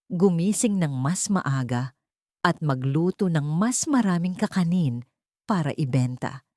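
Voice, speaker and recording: A Filipino woman reads a dramatic audio story in a low, mellow voice. The recording is dry and close, with a soft, dark tone to her storytelling. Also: no echo. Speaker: audiobook narrator. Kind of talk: scripted dramatic story narration.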